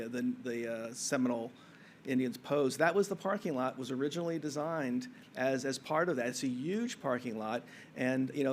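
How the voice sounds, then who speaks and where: A man's voice: mid-range voice, a little hiss or hum. A man, a symposium speaker, answering an audience question in a rambling way, often restarting and correcting himself.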